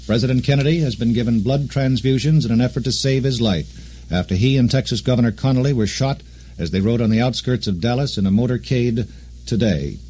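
A man anchoring a live breaking news bulletin on 1960s radio, speaking deliberately and repeating key phrases. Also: mid-range voice, dark tone.

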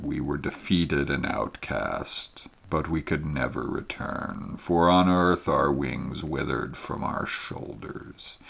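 A man's voice: very deep voice, voice pushed down, full character voice. Man voicing ancient sorrowful blind creature. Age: very old figure. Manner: hushed, mournful, breathy at times. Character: ancient blind tortured alien elephant-headed creature, male, sorrowful.

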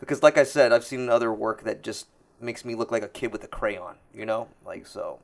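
Modest gravelly-voiced man, laid-back. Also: fairly low pitch. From South Korea. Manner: modest, laid-back, halting, laughs often. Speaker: tattoo artist guest. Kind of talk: casual interview chat.

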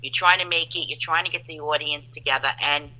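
A woman's voice: low voice, fairly even intonation, fairly quick, bright, forceful tone, rough recording. A woman in her fifties, a podcast guest talking in an upbeat, rambling way with many restarts. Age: 50s. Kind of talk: casual chat.

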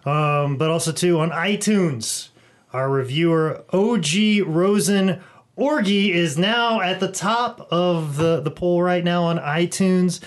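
A man's voice: sits high, slow. Joking male podcast host. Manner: rambling, joking plugs with restarts. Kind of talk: casual chat.

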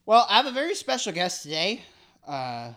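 A man's voice: high voice, big pitch swings, moderate pace, bright, forceful tone. Male podcast host, chatty and joking, casual interview. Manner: joking, rambling, self-deprecating.

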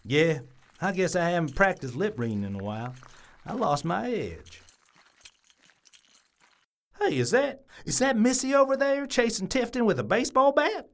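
A woman's voice: medium pitch, sing-song, normal speed, long pauses. A woman voicing a nosy, defensive small-town busybody man with a Southern US accent, in a comic audio drama. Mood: sheepish.

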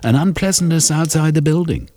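Brief: a pompous, petulant club gentleman, played by a man, pitched higher, husky edge. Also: fairly quick, natural voice. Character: vain touchy gentleman club member, male.

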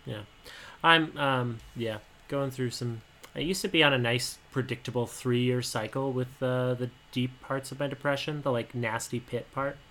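Young male podcast co-host, twenties, high boyish timbre with a sharp brightness, halting and candid delivery. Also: fairly even intonation, a little hiss or hum. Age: 20s. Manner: halting, candid, soft-spoken about personal struggles. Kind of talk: casual podcast chat.

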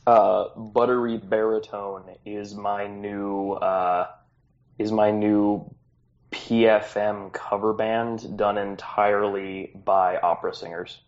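A man runs a tabletop game, narrating with playful, jokey asides. His voice sits a little low, and the recording sounds dull and lo-fi. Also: flat delivery, takes it slowly. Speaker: game master.

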